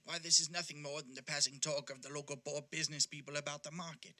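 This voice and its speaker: Guy acting as a frightened old miser, highish voice, very sharp and husky, stammery and pleading, audio play. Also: little pitch movement, quick pace. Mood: confused, pleading. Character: anxious, repentant old male miser led by spirits. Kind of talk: audio drama acting of a Victorian classic.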